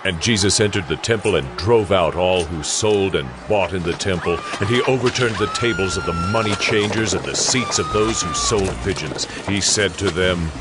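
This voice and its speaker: A man's voice: deep voice, huge pitch swings, moderate pace, hardly any pauses, very noisy. A man reading scripted narration for a dramatized audiobook.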